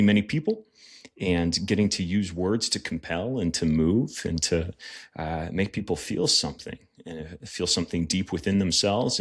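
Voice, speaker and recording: Low-voiced earnest man, guest. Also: a bit bright. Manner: earnest, reflective, frequent "uh" fillers. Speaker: podcast guest, pastor and writer. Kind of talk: conversational interview answers.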